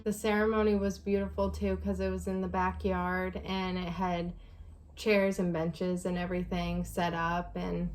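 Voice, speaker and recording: A woman's voice: fairly low pitch, monotone, measured pace, music in the background. A young adult woman hosting a vlog, telling a personal story in a casual chat with her partner. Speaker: vlog host.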